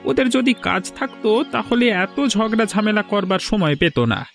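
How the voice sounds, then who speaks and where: Insistent younger cartoon villager, very high male voice, animated pitch, music behind man acting. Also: moderate pace, gravelly, heavily acted. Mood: earnest, fed up. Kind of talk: Bengali cartoon fairy-tale dubbing.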